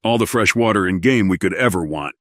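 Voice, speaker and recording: A man's voice: low-pitched, fairly quick, fairly bright tone, no echo, clean studio sound. A man playing a fussy, courteous alien colony administrator in an audio drama, with a formal, officious manner. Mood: genial.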